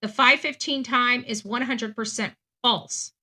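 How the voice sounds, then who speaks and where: A woman's voice: fairly high pitch, fairly even intonation, unhurried, bright, forceful tone. A woman giving unscripted, opinionated true crime commentary in an emphatic way, repeating phrases for stress.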